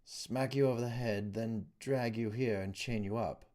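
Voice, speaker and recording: A man voicing a resigned male prisoner in an audiobook, speaking in a smooth, light, confiding whisper. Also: medium pitch, fairly even intonation, normal speed, lightly acted. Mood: hushed, resigned. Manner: hushed, confiding. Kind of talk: audiobook character voice.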